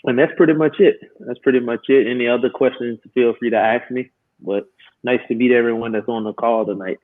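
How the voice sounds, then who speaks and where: A man's voice: mid-range voice, a little fast, dry recording. Man in his twenties on a tinny phone line. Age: 20s. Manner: relaxed, hesitant, many fillers and repeats. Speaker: guest. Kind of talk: casual self-introduction on a call.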